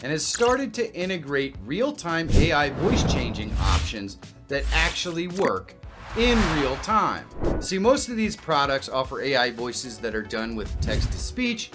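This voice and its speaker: A man in his fifties presenting a software tutorial as a video creator, explaining in a casual, direct way. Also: mid-range voice, big pitch swings, unhurried. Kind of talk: tutorial narration to camera and screen. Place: small indoor home studio. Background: music.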